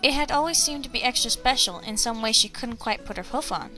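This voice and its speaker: A woman's voice: fairly high pitch, lively intonation, a little fast, sharp tone. A woman reading a children's story aloud as scripted narration. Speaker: storybook reader. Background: background music.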